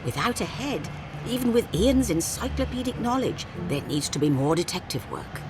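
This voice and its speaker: A woman's voice: medium pitch, very animated, normal speed, background noise. A woman giving scripted documentary narration with a wry, playful delivery.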